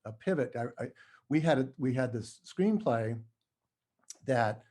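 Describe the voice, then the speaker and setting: A middle-aged man from New Mexico being interviewed, talking casually and haltingly, often correcting himself. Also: medium pitch, animated, a little mellow. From New Mexico, United States. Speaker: podcast guest, writer.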